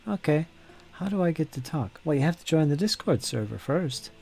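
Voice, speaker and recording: A man's voice: fairly high pitch, animated, moderate pace, vocal fry, a little mellow, faint music behind. A man hosting a casual live stream while painting miniatures, chatting with viewers by name and muttering to himself as he works. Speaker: hobby streamer.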